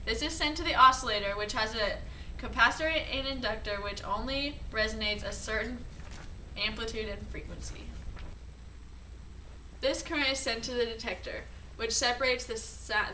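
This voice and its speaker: A young woman with a bright, fairly high voice gives a scripted explanation. She is recorded from a distance in an echoey room with faint noise. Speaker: student presenter.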